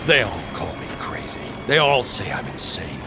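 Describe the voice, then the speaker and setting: An actor playing a desperate, confused combat driver in a video game, with an earnest, overwrought and emotional delivery. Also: male voice, on the low side, sing-song, normal speed. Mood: pleading, haunted. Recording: noisy old game audio.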